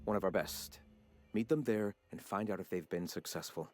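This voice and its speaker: An actor playing a solemn, preaching male faction leader with a measured, sermon-like delivery. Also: low voice, lightly acted. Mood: preachy.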